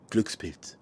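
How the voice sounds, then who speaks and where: An actor playing a hunted, agitated young man in a radio drama, speaking in short clipped phrases. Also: darkish tone. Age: young adult. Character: hunted young Ghanaian man living illegally, wary and agitated. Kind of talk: naturalistic radio drama acting.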